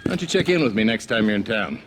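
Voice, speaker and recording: An actor playing a self-assured frontier marshal in a video game, with a wry, unhurried delivery. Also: male voice, lowish voice, big pitch swings, background noise. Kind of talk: video game cutscene acting.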